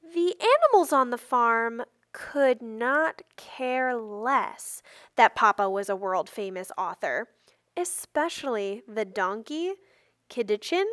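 A woman reading a children's picture book aloud with careful, expressive storytelling delivery. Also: on the high side, slow pace, no room sound, clean studio sound. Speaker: storybook reader.

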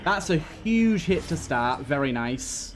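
A man's voice: on the high side, big pitch swings, unhurried, slight background noise. A man hosting a let's play, giving running commentary on a game. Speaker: gaming video host. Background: game music.

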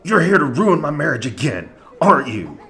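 An actor playing a gullible, brainwashed cultist husband in a cartoon audio drama, petulant and defiant. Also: male voice, on the high side, sing-song, moderate pace, full character voice. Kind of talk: fan-made cartoon audio drama acting. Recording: loud music and crowd behind.

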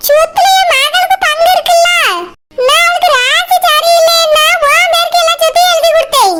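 A woman's voice: extremely high pitch, steady tone, fairly quick, sharp tone. A woman playing an aggrieved, pleading old mother-in-law in a family drama skit, with a southern Tamil Nadu accent and a wailing delivery. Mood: tearful pleading. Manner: wailing, imploring. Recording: very noisy recording with clicks.

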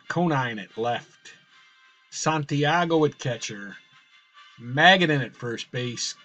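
A man narrates a simulated baseball game in loose, rambling play-by-play, his voice a little raspy from a cold. He talks slowly and corrects himself often. The home recording sounds dull, with faint music underneath. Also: medium pitch, a bit bright. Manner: rambling, with fillers and self-corrections. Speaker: host playing a baseball sim. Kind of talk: casual play-by-play commentary.

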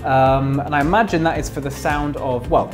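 A man's voice: on the high side, steady tone, normal speed, music in the background. A man in his thirties presenting an informal drama lesson to camera from a room at home. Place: living room at home, indoors.

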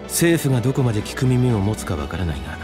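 Composed, low-voiced young commander. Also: male voice, big pitch swings, music in the background. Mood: calm, resolute. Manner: measured, earnest, gently formal. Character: composed, courteous young male military commander. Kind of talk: Japanese video game voice acting.

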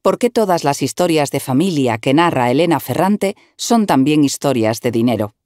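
A woman narrating a written article in an even, measured reading style, recorded close to the mic. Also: deep voice, dry recording.

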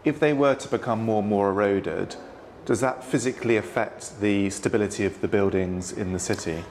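A man hosting a relaxed sit-down interview, prompting his guest with curious questions and eager affirmations. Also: lowish voice, moderate pace, slight background noise. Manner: encouraging, frequent affirming interjections. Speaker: interview host. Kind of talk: conversational interview. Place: hotel lounge, seated on a sofa indoors.

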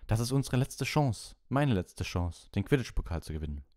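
A man's voice: lowish voice, sing-song, moderate pace, darkish tone, dry recording, clean studio sound, voice pushed up. A man voicing an intense, desperate schoolboy team captain in an audiobook, with emphatic, stressed phrases. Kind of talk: audiobook character voice.

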